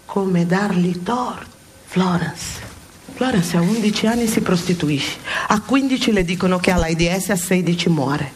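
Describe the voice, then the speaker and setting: An actress playing an impassioned heavenly examiner, lecturing souls with emphatic, theatrical delivery and dramatic pauses in a radio play. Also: female voice, on the low side, slight background noise, natural voice. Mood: grave, narrating.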